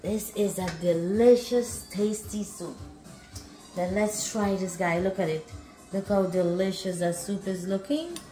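A woman's voice: medium pitch, measured pace, warm tone, roomy sound, soft background music. A middle-aged woman chatting casually as a food vlog host, often repeating herself as she exclaims over the food. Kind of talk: casual cooking vlog chat. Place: home kitchen, indoors.

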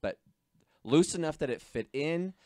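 A man in his thirties co-hosting a casual hobby podcast, easygoing and quick with agreement. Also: on the high side, expressive, mellow, no echo. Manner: easygoing, interjects short agreements. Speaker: podcast co-host. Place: small home workshop indoors. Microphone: studio mic on boom arm, close. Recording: dry close podcast mic.

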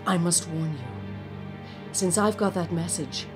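An actress playing a sly, mysterious femme fatale client in a comic noir radio drama, with melodramatic delivery. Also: female voice, fairly low pitch, a little slow, music in the background, natural voice. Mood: coy, amused.

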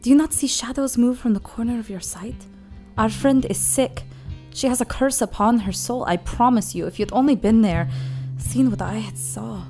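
An actress playing a solemn, determined young woman versed in the occult, speaking formally and gravely in an audio drama. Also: on the high side, moderate pace, mellow, natural voice. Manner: formal, grave, theatrical diction. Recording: faint music behind.

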